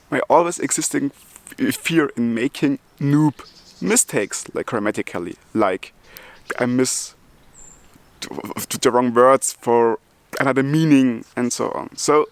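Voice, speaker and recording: Slow-talking German man who stutters. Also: medium pitch. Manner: stutters with repeated sounds and restarts; chuckles between phrases. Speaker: YouTuber. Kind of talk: casual vlog talk.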